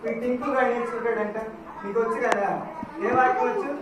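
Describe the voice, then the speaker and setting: A woman hosting a children's puppet show as a Bible teacher, instructing and gently scolding. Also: fairly high pitch, quick pace. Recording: echoey hall from far off, noisy.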